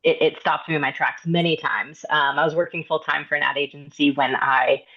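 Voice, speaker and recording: A woman, an independent designer, introducing herself earnestly with frequent pauses on a video call. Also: low voice, steady tone, fairly bright tone, muffled recording. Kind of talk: prepared self-introduction.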